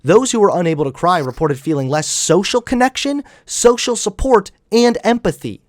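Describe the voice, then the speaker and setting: A man's voice: high voice, lively intonation, moderate pace, no echo. A young man hosts a heated online debate, lecturing in a slightly raised voice and cutting across his opponent. The sound is dry and close to the mic, with no room around it. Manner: combative, lecturing, talks over his opponent. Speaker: debate host.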